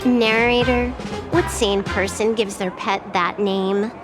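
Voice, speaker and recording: A woman's voice: medium pitch, fairly even intonation, slow pace, music in the background, lightly acted. An actress playing a cool, dryly teasing young woman hacker in a video game. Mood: cool, amused. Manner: nonchalant, dry, sly. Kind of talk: video game character acting.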